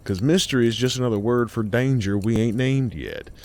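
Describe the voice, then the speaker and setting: A man narrating a scary story in a folksy, colloquial way, theatrical and full of dramatic pauses. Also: medium pitch, darkish tone.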